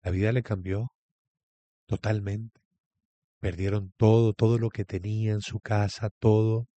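A man's voice: on the low side, level tone, unhurried, mellow, no room sound. A man with a Venezuelan accent giving a reflective religious talk as a guest, repeating key phrases for emphasis.

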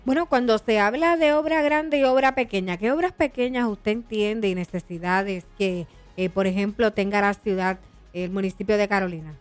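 A woman's voice: mid-range voice, normal speed. A woman with a Puerto Rican accent, co-hosting and asking questions in a live radio interview. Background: music bed.

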